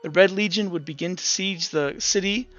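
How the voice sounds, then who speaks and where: Bright-voiced man narrating game lore. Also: sits high, normal speed, dry recording. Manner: storytelling with frequent restarts and fillers. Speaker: game master. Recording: dull lo-fi sound with faint music.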